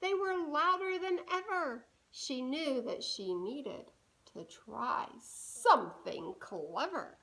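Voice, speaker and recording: Lady with a high voice doing storytime for little kids, animated, takes it slow, asks them stuff. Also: low-quality recording. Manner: animated, deliberate, asks listeners questions. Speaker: children's storybook reader. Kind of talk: expressive read-aloud to young children.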